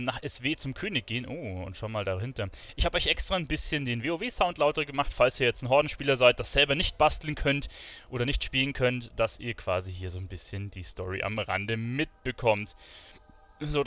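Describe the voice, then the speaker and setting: A man's voice: medium pitch, bright, forceful tone. A man streaming an online role-playing game with casual, informal commentary, often thinking aloud.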